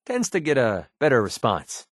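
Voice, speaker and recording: An American man voicing a cynical, wisecracking young mercenary with a soft side in a video game, speaking in a casual, sardonic way. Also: mid-range voice, huge pitch swings, moderate pace, breathy edge, no echo, studio quality. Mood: sardonic, tough. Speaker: video game companion character.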